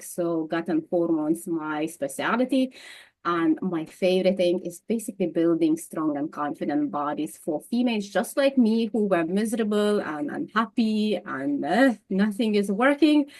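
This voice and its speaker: A woman's voice: on the low side, a little mellow, low-quality recording. A woman with a Lithuanian accent, a nutritional therapist speaking as the guest in a casual interview over a video call, laughing often and repeating words. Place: home in Dublin, video call.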